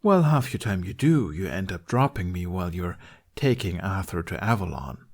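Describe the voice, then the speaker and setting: A man's voice: low voice, animated, normal speed, darkish tone. A man voicing a mischievous, breezy young male performer in a storybook, joking and flippant. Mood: teasing.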